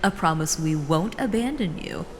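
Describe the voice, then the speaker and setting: A woman playing a doting, possessive female elder in an audio drama, with a drawn-out, sweetly condescending, theatrical delivery. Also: low voice, lively intonation. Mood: pleased, grand. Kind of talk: scripted fan audio drama acting. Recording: reverberant hall, faint noise.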